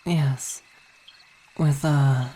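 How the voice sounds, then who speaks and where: A woman playing a weary vampire wife and coven commander, speaking in intimate ASMR roleplay with measured, hesitant, formal phrasing, tender yet imperious. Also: rumbling low, slight background noise, heavily acted. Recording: steady night insect ambience behind voice.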